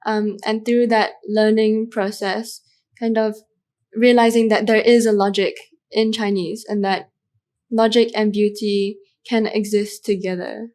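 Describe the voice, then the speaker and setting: A woman's voice: mid-range voice, flat delivery, a little slow, a little mellow. A young woman from Malaysia, a student guest in an interview, answering thoughtfully with frequent fillers.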